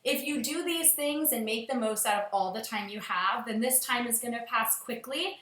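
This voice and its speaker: A woman with a fairly high voice speaks to young children like a teacher at story time. Her delivery is expressive and clearly addressed to little listeners. The room adds a noticeable echo around her words. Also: moderate pace, slight background noise. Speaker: teacher reading aloud to children. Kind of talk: expressive picture-book read-aloud.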